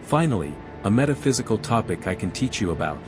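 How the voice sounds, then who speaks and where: A man playing a composed, scholarly envoy in a video game, speaking in a measured, articulate way. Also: fairly low pitch, big pitch swings. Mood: thoughtful. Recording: music behind the voice. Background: music.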